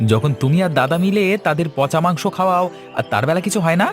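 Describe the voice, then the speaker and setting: A man's voice: a little high, animated, fast talker, faint music behind, natural voice. A man voicing a greedy, cheeky younger brother in a village cartoon, pushy and threatening. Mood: taunting, threatening.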